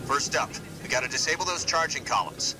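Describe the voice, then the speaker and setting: Actor as a commanding marine squad leader, loud music. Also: male voice, a little high, lively intonation, close to an everyday voice, rough recording. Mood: resolute. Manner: brisk, commanding, resolute. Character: determined male marine squad leader in sci-fi war. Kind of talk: video game military acting.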